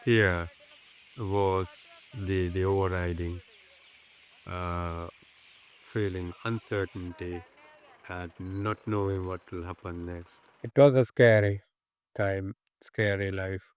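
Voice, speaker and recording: A man in his fifties with a Sri Lankan accent, reminiscing in an interview and often pausing mid-phrase to find his words. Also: low voice, steady tone, slight background noise. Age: 50s.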